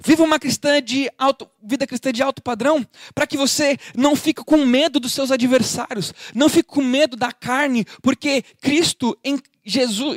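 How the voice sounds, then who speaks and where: A man's voice: very high voice, dry recording, studio quality. A man with a Brazilian Portuguese accent, preaching a livestreamed Bible teaching, explaining with homely everyday examples and earnest exhortation.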